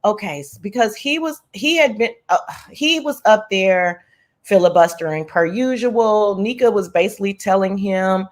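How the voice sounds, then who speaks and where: A woman chatting casually as a livestream host, telling gossip in a slangy, profane, animated way and often wandering off on tangents. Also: mid-range voice, moderate pace.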